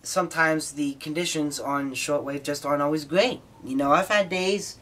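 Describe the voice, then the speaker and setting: An American man giving an informal, explanatory hobbyist talk, somewhat halting, with repeated words as he explains. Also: fairly high pitch, fairly bright tone. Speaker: hobbyist presenter.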